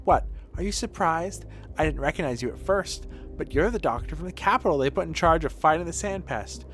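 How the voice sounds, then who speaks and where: Guy doing a snippy suspicious lady from a video game, higher lively voice, teasing, music behind. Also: a little fast. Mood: accusing. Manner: snappish, taunting. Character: guarded, prickly woman, mocking and candy-obsessed. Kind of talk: video game dialogue read aloud.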